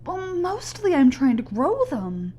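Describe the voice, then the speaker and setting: A high-pitched young woman in an audio drama. She is an earnest, sceptical science student, unsettled but precise, putting real emphasis on her words. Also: huge pitch swings, unhurried, dark tone, dull audio, full character voice. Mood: earnest, explaining. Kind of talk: audio play acting.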